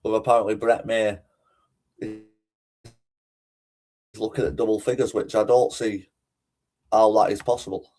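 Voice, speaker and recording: A man's voice: lowish voice, steady tone, moderate pace, long pauses, dark tone. A man giving casual, rambling livestream commentary in a chatty, informal way. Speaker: streamer and host.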